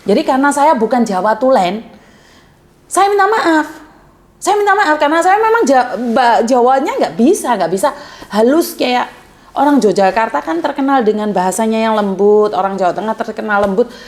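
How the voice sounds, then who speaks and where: A woman's voice: a little high, big pitch swings, normal speed. Blunt outspoken woman on a call. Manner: blunt, outspoken, self-described coarse way of speaking. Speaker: host of a call-in advice channel. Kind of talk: live call-in chat. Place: Hong Kong.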